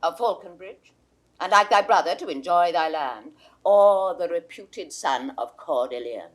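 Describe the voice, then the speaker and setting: A proud old queen with a soldier's boldness in a Shakespeare audio drama. Her voice is fairly low and highly animated. She sounds stately and commanding. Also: unhurried. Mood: bold, approving. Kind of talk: 1960s classical Shakespeare audio drama acting.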